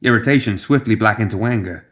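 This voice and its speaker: A man's voice: lowish voice, fast talker, a bit bright. A man reading scripted fantasy fiction as a dramatic audiobook narrator.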